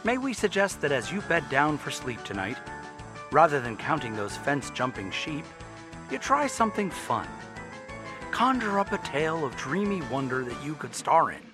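A man's voice: on the high side, very animated, normal speed. A man announcing a radio drama in a theatrical, playful manner, reading scripted narration. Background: music.

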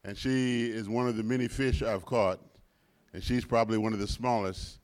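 An older man in his sixties, deep and low-pitched, delivers a sermon as a visiting pastor. He leans on repetition and swings between soft and loud passages. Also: fairly even intonation, moderate pace. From United States. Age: 60s. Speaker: guest pastor. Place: church sanctuary.

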